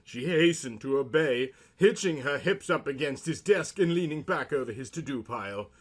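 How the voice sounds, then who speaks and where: A man's voice: mid-range voice, expressive, normal speed, husky. A man narrating a comic story in a theatrical, mock-romantic style.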